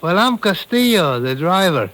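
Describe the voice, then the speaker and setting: High-voiced, businesslike bus driver. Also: male voice, sing-song, normal speed, a bit bright. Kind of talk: 1950s radio acting.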